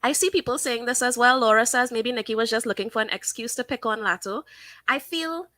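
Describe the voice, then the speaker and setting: A woman hosting a casual live stream, giving her opinions and reading viewers' comments aloud in a chatty, thinking-out-loud way. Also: fairly high pitch, fairly quick, dry recording. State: sniffly from sinus trouble. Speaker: livestream host.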